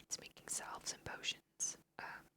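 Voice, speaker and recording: A woman whispering casual, chatty ASMR commentary over a video game, thinking aloud in a breathy voice. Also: unhurried, pushed and bright. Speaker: host of an ASMR let's play.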